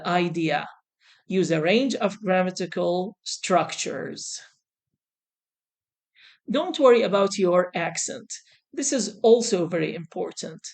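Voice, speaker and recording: A woman teaching an English exam lesson in a deliberate, reassuring manner, repeating her key points as she goes. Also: mid-range voice, darkish tone. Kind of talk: instructional lesson to camera.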